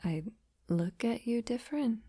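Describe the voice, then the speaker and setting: A shy young woman, played by a voice actress, speaks slowly and gently, with a soft, breathy tone that often slips toward a whisper. The sound is mellow, close and dry, like an intimate audio roleplay. She is affectionate and a little halting, as a best friend turning sweetheart. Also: on the high side, expressive, no echo, full character voice. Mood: flustered, bashful. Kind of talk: intimate roleplay ASMR, soft-spoken acting.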